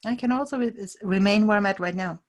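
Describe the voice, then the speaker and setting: A woman's voice: medium pitch, quick pace, darkish tone. A woman leading a tapping session, calling out tapping points and giving short phrases for someone to repeat. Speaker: EFT tapping practitioner.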